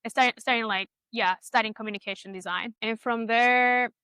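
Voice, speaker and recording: Bright, clear, high-voiced woman from Ecuador. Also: fairly even intonation, moderate pace, dry recording. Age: 30s. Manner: informal, peppers talk with "like" and quoted inner thoughts. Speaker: interview guest, product designer. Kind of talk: casual conversational interview, personal storytelling.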